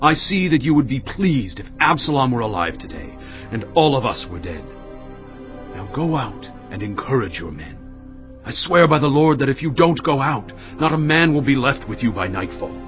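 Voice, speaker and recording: An actor playing a blunt, indignant army commander, scolding in a dramatized audio Bible. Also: male voice, mid-range voice, big pitch swings, normal speed, highs cut off, soft background music, lightly acted. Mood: angry rebuke. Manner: forceful, scolding.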